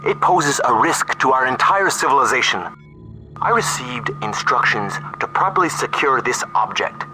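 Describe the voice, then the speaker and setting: An actor playing a stern, ruthless, self-righteous army colonel in a video game cutscene, speaking in a measured, condescending, menacing way. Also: male voice, mid-range voice, animated, close to an everyday voice, poor audio. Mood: hard, threatening. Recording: music behind the voice.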